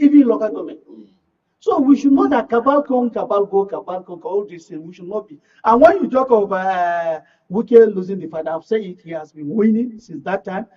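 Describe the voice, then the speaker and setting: A man's voice: sits high, far from the mic. A Nigerian man giving political commentary as a panel guest, emphatic and prone to repeating his phrases. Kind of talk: animated panel discussion. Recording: dull audio, away from the mic.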